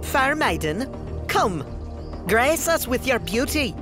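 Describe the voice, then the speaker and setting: Woman as a slow, boastful fairy boy. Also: on the high side, very animated, over music. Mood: cocky. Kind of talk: fantasy audio drama character acting.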